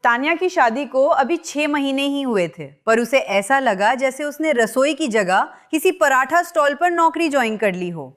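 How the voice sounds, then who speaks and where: A woman tells a scripted family story with high, clear narration. Her delivery stays even and expressive throughout. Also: moderate pace, hardly any pauses.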